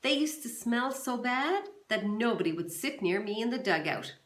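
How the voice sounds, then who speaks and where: A woman's voice: expressive, moderate pace, fairly bright tone. A woman doing a chipper baseball star. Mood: upbeat pitch. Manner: upbeat, pitchman delivery. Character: cheerful male baseball star in a TV ad. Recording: roomy echo.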